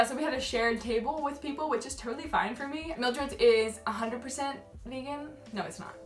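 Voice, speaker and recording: A woman's voice: a little high, a bit bright. A young woman who grew up in Malaysia, chatting casually and rambling to camera as a travel vlogger, often laughing at herself. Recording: echoey room, mic at a distance, faint music.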